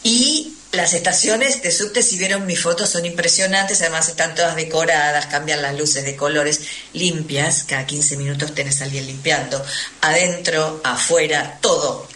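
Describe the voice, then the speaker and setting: A woman's voice: low-pitched, steady tone, moderate pace, sharp tone. An Argentine woman recounting her experiences as an interview guest, conversational and emphatic, repeating words for stress. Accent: Argentine Spanish. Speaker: radio interview guest, traveller. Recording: faint noise, roomy echo.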